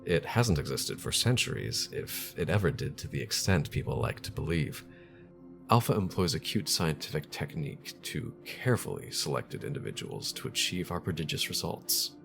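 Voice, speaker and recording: An actor playing an arrogant male corporate scientist in an audio drama interview, speaking in a measured, formal, condescending way. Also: rumbling low, very animated, creaky, over music, heavily acted. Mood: assured, condescending.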